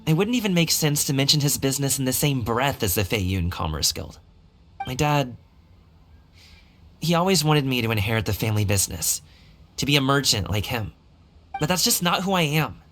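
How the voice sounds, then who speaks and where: A man's voice: medium pitch, very animated, normal speed, pauses often, a bit bright, slight background noise. A man voicing a friendly, earnest young male dancer in a video game, speaking in an easygoing, casual way. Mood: earnest, wistful. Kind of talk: video game character acting. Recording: faint game music behind the voice. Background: faint game music.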